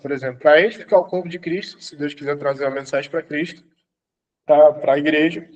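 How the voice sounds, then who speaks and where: A man's voice: sits high, dull audio. A man, an apostle, teaching an online church class, exhorting students and repeating his commands, at times laughing as he tells stories.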